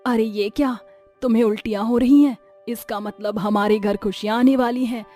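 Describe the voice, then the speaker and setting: A woman's voice: a little high, steady tone, moderate pace, warm tone, soft background music, natural voice. A woman voicing a devoted, protective older mother-in-law turned witch in a cartoon story. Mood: delighted. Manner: caring, earnest, dramatic.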